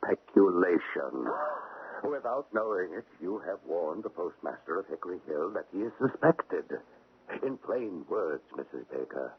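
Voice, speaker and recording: A man playing a stern, formal government agent in an Old West radio drama, speaking in measured official phrases. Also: sits low, sing-song, husky, exaggerated voice. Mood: grave, official. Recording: narrow-band, noisy old radio broadcast.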